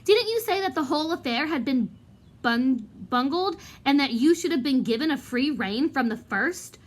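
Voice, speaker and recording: A woman's voice: sits high, expressive, normal speed, voice pushed up. A woman voicing a menacing male professor in a storybook, goading and pointed. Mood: mocking.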